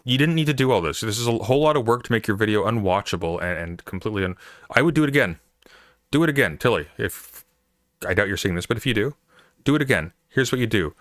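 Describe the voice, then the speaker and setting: Exasperated deep-voiced man ranting. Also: lively intonation, fast talker, fairly bright tone, no echo, clean studio sound. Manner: exasperated, emphatic, repeats himself. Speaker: streamer reacting to videos. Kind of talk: casual reaction commentary.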